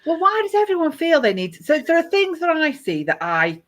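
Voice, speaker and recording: A woman's voice: high-pitched, very animated, fairly quick, fairly bright tone. A woman talking as a guest on a crafting podcast, earnest and agreeable, often restarting her sentences. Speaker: podcast guest, bag designer. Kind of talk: casual conversation.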